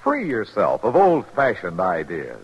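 An American man as a commercial announcer, giving an emphatic, salesmanlike pitch in a 1950s radio advertisement style. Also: huge pitch swings, measured pace. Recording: dull vintage radio recording, loud music.

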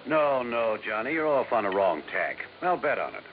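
Actor as assured federal agent, tinny old radio. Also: male voice, medium pitch, very animated, moderate pace, natural voice. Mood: friendly, assured. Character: assured, folksy male FBI agent, an old friend. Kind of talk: 1950s radio drama acting. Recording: narrow, noisy 1950s radio recording.

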